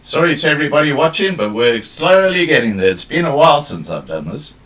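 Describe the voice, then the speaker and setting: Halting male host on a video call. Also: on the high side, sing-song, a bit bright, recorded from a distance. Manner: chatty, halting, many restarts. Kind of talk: casual live video call chat.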